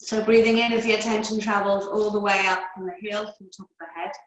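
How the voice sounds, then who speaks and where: A woman with a mid-pitched voice guides breathing and relaxation as a yoga teacher. She speaks calmly and soothingly, leaving long unhurried pauses. The sound is roomy, with clear echo around her words. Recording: roomy, echoey indoor sound.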